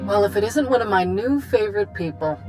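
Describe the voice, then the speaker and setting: An actress playing a brisk, confident, wry woman chancellor in a science fiction video game. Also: mid-range voice, expressive, natural voice. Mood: upbeat, confident. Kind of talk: video game cutscene acting. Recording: music under the voice.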